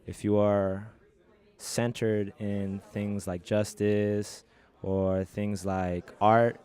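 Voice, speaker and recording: A man with a deep voice and little rise or fall. He answers reflectively, building his thoughts in philosophical phrases. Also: flat delivery, very slow, dark tone, clean tone.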